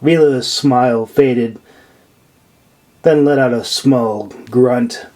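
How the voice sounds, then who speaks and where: A man's voice: medium pitch, slow, warm tone. A man narrating a fantasy audiobook from a script, as the author of the story. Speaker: author reading own audiobook.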